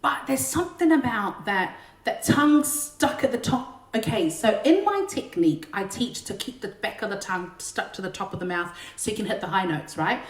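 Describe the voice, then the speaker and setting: A woman's voice: mid-range voice, animated, moderate pace. A woman, a singer and singing teacher, chatting casually and joking between songs on a home livestream. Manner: chatty, joking, self-deprecating, big belting voice. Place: home room during lockdown. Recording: roomy echo, faint backing music.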